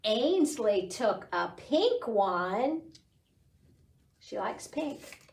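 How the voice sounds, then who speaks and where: A middle-aged woman hosting a children's storytime, reading aloud and talking to young children in an animated, encouraging way. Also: fairly high pitch, very slow, vocal fry. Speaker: library storytime host. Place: small indoor library room. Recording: roomy echo, distant microphone.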